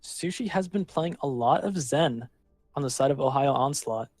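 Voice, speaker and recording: A man casting a live online match, calling the action and filling pauses with rambling, self-deprecating asides. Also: mid-range voice, lively intonation, darkish tone, dry recording, faint music behind. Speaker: esports caster. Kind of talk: live game commentary.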